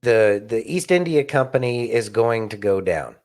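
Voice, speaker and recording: A man's voice: mid-range voice, lively intonation, normal speed, darkish tone. A man co-hosting a political talk podcast, speaking in a blunt, emphatic and indignant way. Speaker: podcast co-host.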